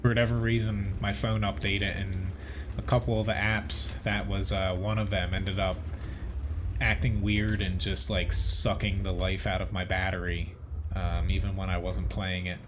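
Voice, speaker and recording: A man's voice: low voice, flat delivery, moderate pace, background noise. A man with a Philadelphia accent giving casual live gameplay commentary as a streamer, informal and mildly exasperated in his grumbling. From Philadelphia area, United States. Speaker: game streamer.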